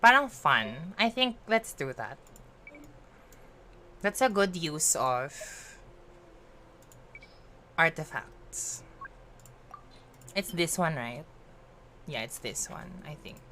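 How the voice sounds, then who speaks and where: A woman's voice: low voice, lively intonation, slow pace, pauses often, pushed and bright, a little hiss or hum. A woman with a Filipino accent streaming a game, chatting playfully in a mix of English and Filipino and teasing herself. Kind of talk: casual livestream chat while gaming.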